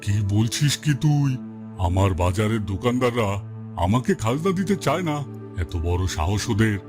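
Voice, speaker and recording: A man's voice: lowish voice, big pitch swings, normal speed. A man playing a bossy, bullying village headman in a cartoon, demanding and indignant in manner. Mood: indignant, threatening. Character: bossy, bullying village headman who collects market rent. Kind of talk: Bengali moral-story cartoon dubbing. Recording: loud music bed behind the voice.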